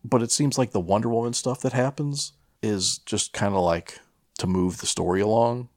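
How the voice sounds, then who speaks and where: Very deep, gravelly man chatting. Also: moderate pace, darkish tone, dry recording, clean studio sound. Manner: hesitant, searching for words, casually profane. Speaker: podcast co-host reviewing a film. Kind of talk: casual film chat.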